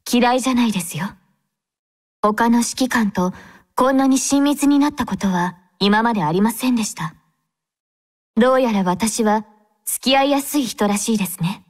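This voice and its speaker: Anime game role: young female android squad captain, played by a woman, devout, courteous, composed, lightly high-pitched. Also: natural voice. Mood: tender, wistful. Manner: formal, gentle, composed. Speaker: game character voice actor.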